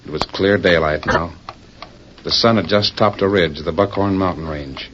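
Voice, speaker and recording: Man as very deep, dramatic radio storyteller. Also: moderate pace, background noise, lightly acted. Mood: grave, suspenseful. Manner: solemn, theatrical. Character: grave, dramatic storyteller of a western serial. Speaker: narrator and announcer. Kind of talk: dramatic 1940s radio narration. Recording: muffled 1940s radio recording.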